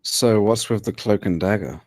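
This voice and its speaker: A man's voice: lowish voice, normal speed, a little mellow, no room sound, clean studio sound, natural voice. A man reading the part of a joking, laid-back workmate in a film script, wry and casual. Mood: amused. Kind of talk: film script table read, naturalistic dialogue.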